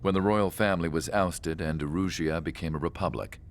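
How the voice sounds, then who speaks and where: A wistful, measured storyteller with a very deep, gravelly voice. He looks back on the past in calm narration for a game cutscene, with faint noise behind him.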